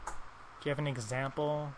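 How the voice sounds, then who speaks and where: A man's voice: mid-range voice, level tone, normal speed, noisy background. A man making a prank call in improvised absurd chat, rambling with feigned confusion. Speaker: prank caller.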